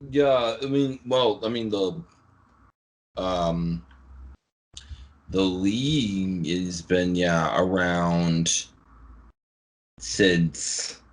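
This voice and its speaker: Young man, halting, filler-heavy, chuckling, casual video call. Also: deep voice, poor audio. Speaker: fan film creator.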